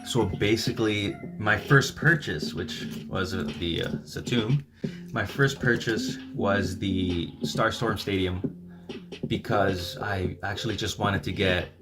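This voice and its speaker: A middle-aged man with a fairly low voice hosts a relaxed livestream chat, rambling and restarting his words as he goes. Music plays clearly behind him. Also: slow. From El Salvador. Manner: rambling, chatty, restarts words while handling toys. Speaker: YouTube channel host. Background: music playing.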